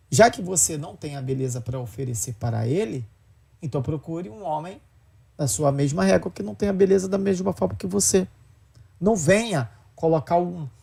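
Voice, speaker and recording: A man's voice: fairly high pitch, creaky, a little mellow, breathy edge. A man giving blunt, lecturing opinions, mocking the views he argues against. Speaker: podcast commentator. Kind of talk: opinionated podcast talk.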